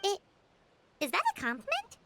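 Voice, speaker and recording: A woman voicing a small, chatty, excitable girl companion in a game cutscene. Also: extremely high pitch, moderate pace, background noise, full character voice. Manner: whiny and excitable.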